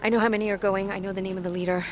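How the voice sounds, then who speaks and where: A woman's voice: medium pitch, steady tone, racing, slight background noise, natural voice. An actress playing a bold young female reporter in a video game, insistent, persuasive and businesslike. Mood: determined, persuasive. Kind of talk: video game cutscene acting.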